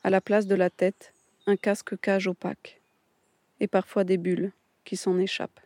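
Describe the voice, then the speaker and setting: A woman reading a scripted documentary voice-over in a measured, reflective way. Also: medium pitch, flat delivery, a little mellow, no echo. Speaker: documentary narrator.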